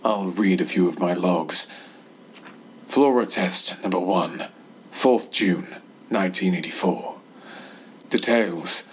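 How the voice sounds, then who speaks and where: A muffled, lo-fi recording carries a man acting as a cold, scheming scientist with a husky, fairly low voice. He dictates terse notes on secret experiments in a measured, clinical and disdainful manner, in the style of an audio horror log. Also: heavily acted. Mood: secretive, brooding.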